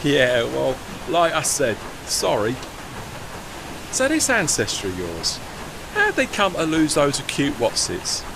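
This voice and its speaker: Man playing a chirpy, animated working tugboat. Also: a little high, slow pace, gravelly, noisy background, full character voice. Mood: cheery. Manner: colloquial, matey working-class speech. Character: chirpy, plain-spoken working steam tugboat, deferential but cheeky. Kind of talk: audio play acting.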